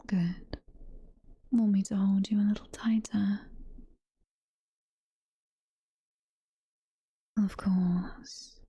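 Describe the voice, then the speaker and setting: A British woman voicing a sweet, motherly, possessive demon girlfriend in a slow, cooing, breathy whisper for intimate ASMR roleplay. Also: medium pitch, dark tone, dry recording. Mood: tender, coaxing. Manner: slow, cooing, full of pet names.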